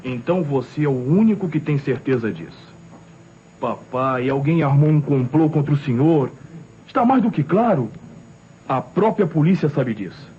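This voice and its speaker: An actor playing a loyal, determined young Brazilian son turned amateur detective, earnest and insistent, in an old radio drama. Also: male voice, fairly high pitch, animated, moderate pace, lightly acted. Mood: earnest, reassuring. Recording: muffled, noisy old radio recording.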